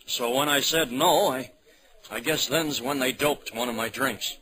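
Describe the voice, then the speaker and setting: A man's voice: medium pitch, measured pace, lightly acted, rough recording. A man acting an indignant, stubborn Midwestern everyman in 1940s radio drama, blustery and folksy. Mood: recounting. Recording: old radio recording, crowd noise behind.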